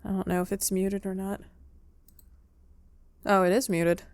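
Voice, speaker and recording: A woman's voice: medium pitch, little pitch movement, a little slow, a little mellow, no room sound. A woman playing a visual novel and reading its lines aloud dramatically, as in a playthrough. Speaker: game player reading aloud.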